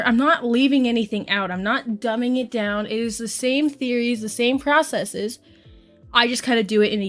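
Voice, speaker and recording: A woman's voice: fairly high pitch, fairly bright tone. A woman in her twenties from Quebec, Canada, chatting casually and rambling with lots of fillers as a YouTuber. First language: English. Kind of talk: casual chat while doing makeup.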